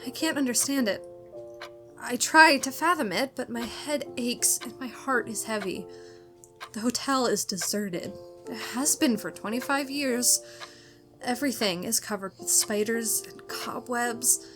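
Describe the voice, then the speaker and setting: A woman acts out an anxious, fragile wife in a gothic radio melodrama. Her voice is high and pleading, with halting, dramatic delivery, and music plays under her. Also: lightly acted. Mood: weary, dread. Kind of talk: old-time radio melodrama acting.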